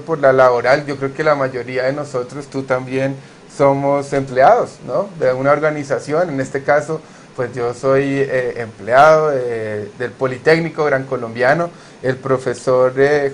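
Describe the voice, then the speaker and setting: A man's voice: mid-range voice, a little hiss or hum. Chatty male instructor hosting a class. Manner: friendly, chatty, with fillers. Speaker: course instructor, host. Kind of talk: hosted class interview.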